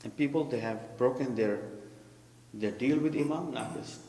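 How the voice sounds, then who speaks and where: A man's voice: mid-range voice, moderate pace, warm tone. A man giving a sermon and commentary who explains terms and questions the congregation. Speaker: preacher. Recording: echoey hall with faint hum.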